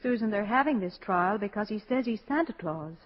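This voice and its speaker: An actress playing a tender, thoughtful mother in a 1940s radio drama, soft-spoken and soothing. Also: mid-range voice, expressive, brisk, slightly rough. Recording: echoey muffled 1940s radio, music behind.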